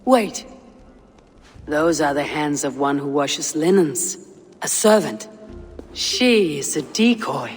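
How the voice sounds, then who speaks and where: An actress playing a stern, battle-hardened female Viking raider in a video game, with a low, gruff voice and terse delivery. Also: animated, takes it slowly, pauses often, faint music behind. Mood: resolute. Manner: terse, commanding. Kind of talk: dramatic video game acting.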